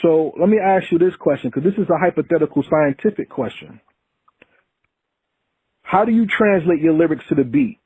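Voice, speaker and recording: A man hosting an interview with a husky, breathy voice that rises and falls a lot. He asks his questions earnestly and tends to preach. The sound is dry, close and a little muffled. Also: on the high side, animated, normal speed, long pauses, darkish tone, no room sound. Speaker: podcast host. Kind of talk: casual interview.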